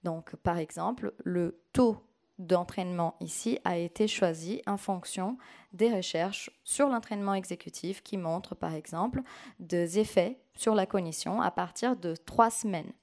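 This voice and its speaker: A woman with a mellow, warm voice, answering an audience question after her talk. She explains her research in a measured, orderly academic way. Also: lowish voice. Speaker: conference speaker answering questions.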